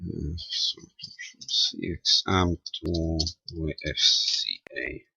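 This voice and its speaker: A man giving a casual, unscripted technical demonstration as a radio hobbyist, talking haltingly and half muttering to himself as he works. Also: extremely low pitch, steady tone, creaky, sharp tone, husky, no echo, poor audio. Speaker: amateur radio operator demonstrating equipment.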